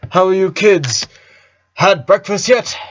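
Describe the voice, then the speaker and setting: Male reader as upbeat cartoon-style father, pitched up high, jolly and insistent, slightly muffled recording. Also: slow, slight background noise. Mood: cheerful. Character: cheerful middle-aged dad, brightly upbeat and insistent.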